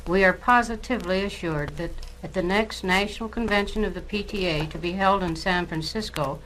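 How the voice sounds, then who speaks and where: Woman acting an earnest communist comrade, lowish voice. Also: fairly bright tone, a little hiss or hum, lightly acted, low-quality recording. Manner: formal, assured. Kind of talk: dramatized spoken-word record acting.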